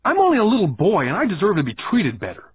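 Guy putting on a whiny little boy, mad at his boss, pitch jumps all over, husky tinny audio. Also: wildly swooping pitch, fast talker, no room sound, exaggerated voice. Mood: indignant. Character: indignant nine-year-old boy confronting his boss. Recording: tinny phone-like band.

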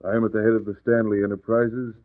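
A man's voice: fairly low pitch, little pitch movement, quick pace, no echo, lightly acted. A man playing a crooked, scheming American businessman in a 1940s radio drama, brusque and slangy, blustering yet anxious. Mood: cocky, scheming. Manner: brusque, slangy, tough-talking. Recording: dry, tinny old radio recording.

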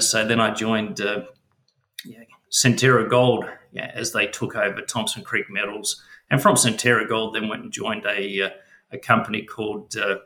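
Australian man, bright voice, echoey room. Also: lowish voice. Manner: hesitant, many fillers and restarts. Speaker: mining company chief executive. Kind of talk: interview.

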